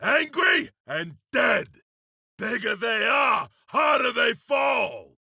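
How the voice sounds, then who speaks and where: An actor playing a seasoned, courteous frontier gunslinger in a video game, with a gravelly, raspy voice and a folksy drawling manner. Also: male voice, extremely high pitch, animated, takes it slowly, pushed and bright, no echo, shouting, clean studio sound, full character voice. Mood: grimly pleased. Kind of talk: video game character voice lines.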